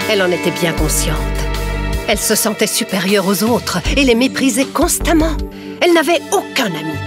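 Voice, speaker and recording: A woman's voice: medium pitch, natural voice. A woman voicing a theatrical, bossy schoolteacher in a French cartoon, with dramatic, haughty, articulate delivery. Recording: music behind the voice.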